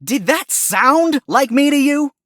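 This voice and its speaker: A woman's voice: high-pitched, expressive, takes it slowly, no room sound. Sly, theatrical fox attorney for a courtroom story female actor, sarcastic, with swooping intonation and a close dry sound. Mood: exasperated. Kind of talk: animated courtroom story acting. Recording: music behind the voice.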